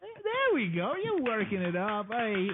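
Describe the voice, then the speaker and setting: Loud mocking guy running a call-in comedy show, voice jumps all over, phone-line sound. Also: sits high, wildly swooping pitch, unhurried, background noise. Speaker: host. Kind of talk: crude comedy chat show banter.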